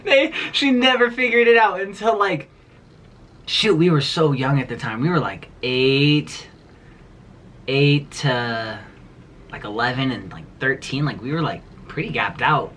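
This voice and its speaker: A man's voice: a little high, measured pace, a bit bright, a little hiss or hum. Rambling young man telling a story. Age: 20s. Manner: rambling, filler-heavy, laughing through the story. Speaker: vlogger. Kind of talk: casual storytime vlog.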